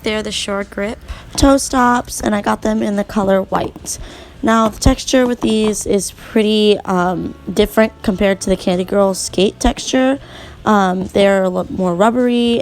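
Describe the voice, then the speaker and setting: Raspy young woman, gravelly voiceover. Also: mid-range voice. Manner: chatty, relaxed, with many fillers. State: raspy from a sore throat. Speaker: skating vlogger. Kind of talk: casual how-to voiceover. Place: home at night.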